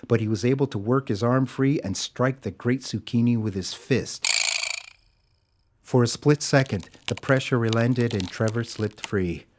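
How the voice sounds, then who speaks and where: A man's voice: medium pitch, a little slow, background noise. A man narrating a scripted audio drama as a dramatic storyteller. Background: music.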